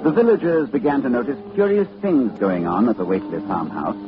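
An actor playing a grave, urgent professor narrating horror in a formal, portentous 1940s radio style. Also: male voice, mid-range voice, animated, brisk, over music, lightly acted. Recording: muffled 1940s radio recording.

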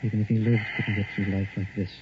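Male actor as matter-of-fact surgeon, lowish voice, grave, clipped, noisy 1960s radio drama. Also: little pitch movement, fast, natural voice. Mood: grave, resigned. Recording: muffled noisy old radio recording.

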